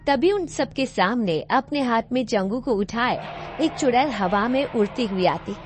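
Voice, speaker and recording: A woman's voice: fairly high pitch, animated, a little slow, faint music behind. A woman reading scripted story narration as the narrator of a tale.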